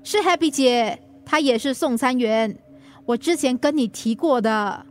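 An actress playing a troubled, easily wounded wife in a radio drama, emotional and pleading, with a Singaporean accent. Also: female voice, sits high, measured pace, a little hiss or hum. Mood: tense, guarded.

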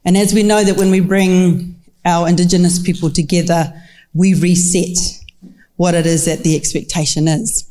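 Kiwi woman doing welcomes at a live panel, dark mellow voice, bit low. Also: level tone, normal speed. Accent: New Zealand. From New Zealand. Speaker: co-moderator, film commission representative. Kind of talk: live welcome speech and introductions. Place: live festival talk, handheld microphone.

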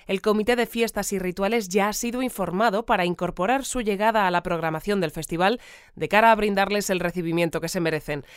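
An actress playing a composed, formal radio newsreader delivering a scripted bulletin in an audio fiction. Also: female voice, mid-range voice, clean tone, no room sound, clean studio sound, lightly acted. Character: composed female radio newsreader of strange town. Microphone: close studio mic. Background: music.

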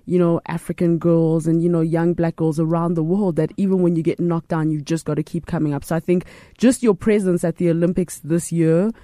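A woman's voice: on the low side, fairly even intonation, fairly quick, hardly any pauses, warm tone, no room sound, studio quality. A woman interviewing a guest in a casual, chatty way, full of fillers and restarts. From family from Uganda.

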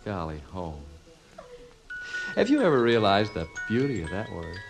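An actor playing an excitable, sentimental husband and father in a 1950s radio comedy, stammering and emphatic. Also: lowish voice, normal speed, gravelly, soft background music, heavily acted. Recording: vintage 1950s radio recording.

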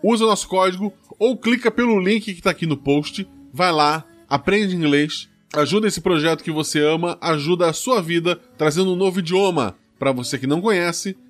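Chatty Brazilian-born game master. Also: male voice, moderate pace, few pauses, faint music behind. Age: adult, played RPGs since youth. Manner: chatty, informal, persuasive pitch. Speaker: game master and podcast host. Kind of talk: podcast ad read and rules intro.

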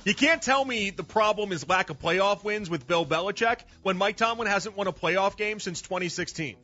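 A man's voice: sits high, normal speed. A man in his thirties hosting sports talk radio, arguing his points with rhetorical questions. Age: 30s. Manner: animated, argumentative, rhetorical questions. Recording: slightly dull radio broadcast with faint music.

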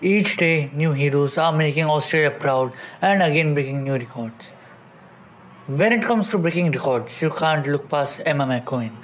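A man's voice: on the high side, normal speed, a little hiss or hum. A man reporting a sports news segment in a read-out bulletin style.

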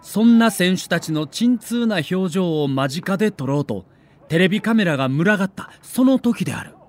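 A man narrating a scripted documentary in a dramatic, measured storytelling manner. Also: sits high, animated.

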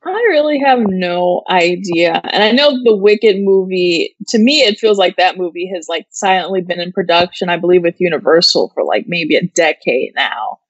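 A woman's voice: lowish voice, lively intonation, normal speed, few pauses, dry recording, highs cut off. An American woman co-hosting an entertainment show in casual, chatty talk sprinkled with "like". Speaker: co-host of an entertainment news show. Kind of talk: casual chat.

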